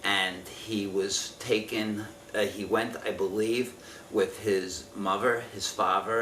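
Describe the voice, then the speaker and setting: A man in his fifties answering an oral history interview from memory, speaking in a halting, careful, measured way. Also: low voice, pushed and bright. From Israel. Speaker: interviewee giving family testimony. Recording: roomy, distant mic with faint noise.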